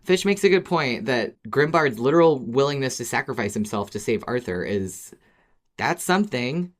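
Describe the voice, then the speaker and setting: Woman podcast co-host, strikingly low voice, casual and expressive, repeats words to drive a point home. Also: normal speed. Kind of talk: casual chat about an audio drama.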